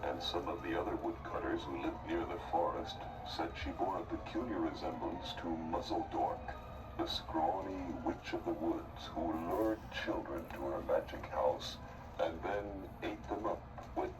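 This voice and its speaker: Male narrator, deep voice, theatrical fairy-tale storytelling, distant, very noisy stage recording. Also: measured pace.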